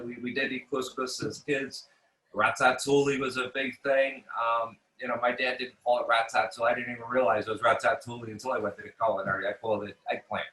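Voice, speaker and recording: American guy chef chatting in an interview, sharp bright voice, mid pitch, bit of a roomy echo. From New York City, United States. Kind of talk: casual interview talk.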